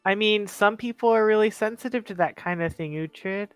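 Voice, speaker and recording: Clear-voiced roleplayer, earnest and dry-miked. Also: mid-range voice, moderate pace, no echo, faint music behind. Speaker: player in a tabletop game. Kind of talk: casual in-character roleplay.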